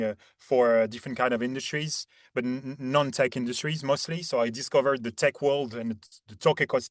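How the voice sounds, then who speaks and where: A man's voice: medium pitch, dry recording. A man in his thirties from Paris with a French accent, explaining conversationally as an interview guest, often repeating words as he searches for phrasing. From Paris, France. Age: 30s. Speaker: interview guest, head of talent acquisition.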